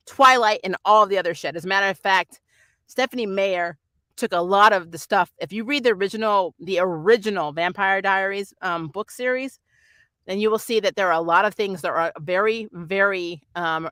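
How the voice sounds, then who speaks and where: A woman's voice: mid-range voice, fairly bright tone, dry recording. A middle-aged woman hosts a casual review stream, talking off the cuff in a mid-range voice. She is opinionated and digressive, wandering through her thoughts as she responds to comments. The sound is dry, with hardly any room around her. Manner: rambling, digressive, opinionated, often laughing. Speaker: host of a nerd culture review channel. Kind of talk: casual livestream chat, answering viewer comments and reviewing TV.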